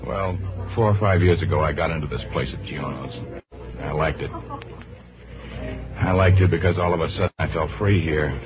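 Rich, romantic gentleman suitor, played by a man, very deep pitch, smooth-talking wooing, vintage radio sound. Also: close to an everyday voice. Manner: suave, wooing. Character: Wynn, romantic wealthy Philadelphia gentleman courting his secretary. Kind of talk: 1940s radio drama acting. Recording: tinny vintage radio broadcast, music behind.

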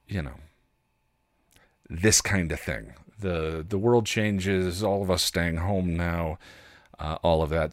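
A man hosting a podcast with a candid personal monologue, reflective and halting, with wry, self-deprecating delivery. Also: on the low side, no room sound, clean studio sound. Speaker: podcast host.

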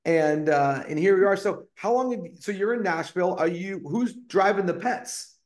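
A man's voice: high voice, moderate pace. A man hosting a podcast interview, enthusiastic and full of praise for his guest. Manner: enthusiastic and effusive, repeats praise. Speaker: podcast host. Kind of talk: casual interview chat.

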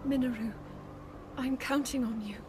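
Woman acting a gentle high-voiced princess. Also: fairly even intonation, moderate pace, close to an everyday voice. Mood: tender resolve. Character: gentle, resolute young princess. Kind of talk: video game cutscene acting. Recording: game audio under loud music.

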